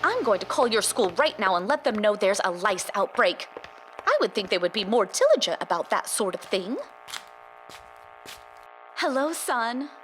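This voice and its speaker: A woman's voice: mid-range voice, moderate pace, long pauses, soft background music, poor audio. A woman playing a chirpy, oblivious, fussing housewife mother in a stylized horror drama, drawing out her words sweetly. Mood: concerned, scolding. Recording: steady background noise and hum.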